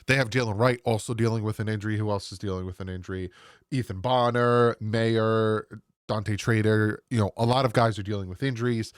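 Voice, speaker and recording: A man's voice: fairly low pitch, clear, resonant tone, no room sound, studio quality. A man in his thirties hosting a sports channel from home, sounding congested and nasal from a cold as he chats casually and rambles to viewers. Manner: rambling, chatty, quick asides to viewers. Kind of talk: casual solo sports commentary. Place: home room. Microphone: desk microphone.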